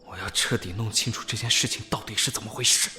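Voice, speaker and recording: A man playing an intense, scheming young mental patient in an audio drama, muttering his thoughts, often in breathy whispers. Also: lowish voice, steady tone, quick pace, sharp tone, faint music behind. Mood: suspicious, resolved. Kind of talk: Chinese audio drama acting.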